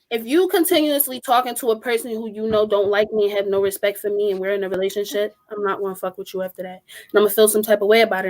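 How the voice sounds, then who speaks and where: A young woman speaks her mind on a podcast in a blunt, emphatic way. Her voice sits at a medium pitch, and she talks like someone who is strong-willed and sure of her opinions. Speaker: podcast co-host. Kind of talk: casual group discussion.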